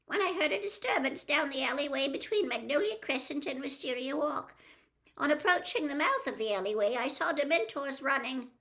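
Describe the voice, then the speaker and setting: A quavery, high, fluttering old lady, nervous and a little batty, as a woman narrator puts her on. Her pitch darts all over the place and the tone is bright. She rushes through rehearsed lines in a flustered gabble. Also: expressive, exaggerated voice, poor audio. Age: older.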